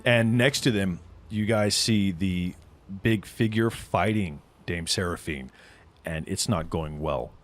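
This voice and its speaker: Low-voiced male game master, faint music. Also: takes it slowly. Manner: dramatic, descriptive. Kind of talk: improvised tabletop game narration.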